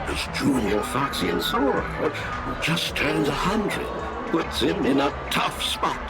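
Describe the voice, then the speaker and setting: A man's voice: medium pitch, very animated, slow pace, creaky, exaggerated voice. An actor playing a slick, theatrical dream salesman in a video game, full of eager, showy patter. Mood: showy, persuasive. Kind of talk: video game character acting. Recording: loud music behind the voice.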